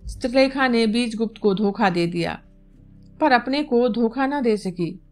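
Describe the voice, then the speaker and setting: A woman with a fairly high voice reads literary fiction as an audiobook narrator. Her storytelling is expressive and deliberate, set over background music.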